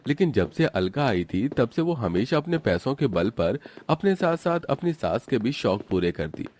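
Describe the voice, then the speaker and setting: A man's voice: medium pitch, sing-song, normal speed, a little mellow, a little hiss or hum. A man narrating a scripted family cartoon story as the storyteller.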